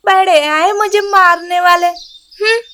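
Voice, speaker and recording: A woman plays a cheeky doe in a children's cartoon. Her voice is extremely high and piercingly bright, taking its time over each taunt. She sounds mocking and sassy. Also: steady tone, slow, heavily acted. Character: cheeky, taunting female deer. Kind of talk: Hindi animated moral story dialogue.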